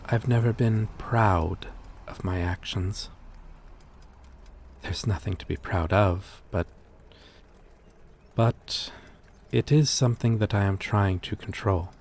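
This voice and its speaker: Man voicing a slow, low, remorseful vampire. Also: darkish tone, slight background noise. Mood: remorseful, confessional. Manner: hesitant, confessional, formal old-fashioned wording. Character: gentle, remorseful, weary male vampire, lonely outcast. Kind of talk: scripted ASMR roleplay, intimate monologue.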